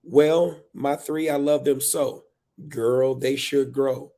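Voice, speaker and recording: A man's voice: medium pitch, steady tone, takes it slowly, mellow, pitched above the performer's own voice. A man voicing an exasperated, overwhelmed single mother of three in a dramatic, emphatic spoken-word book reading. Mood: reflective, hurt.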